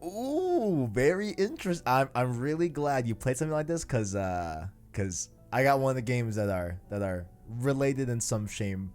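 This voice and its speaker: A man's voice: medium pitch, sing-song, moderate pace, few pauses, a little mellow, soft background music. A man hosting a podcast, chatting casually about video games in slangy speech and often repeating himself as he agrees. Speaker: podcast host.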